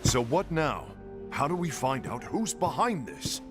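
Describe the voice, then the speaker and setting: An actor playing a brash, scornful, hot-headed warrior in a fantasy game cutscene, blunt and confrontational. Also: male voice, mid-range voice, big pitch swings, measured pace. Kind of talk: dubbed animated fantasy game cutscene acting. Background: music.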